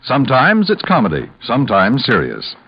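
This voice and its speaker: A man's voice: mid-range voice, huge pitch swings, moderate pace, husky. Swooping old-time American radio announcer man. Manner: polished, formal delivery. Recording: dull vintage radio broadcast, faint music.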